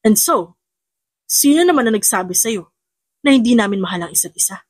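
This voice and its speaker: A woman's voice: a little high, expressive, fairly quick, slightly rough, no echo, clean studio sound. Woman acting a composed, firm businessman husband. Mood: firm, annoyed.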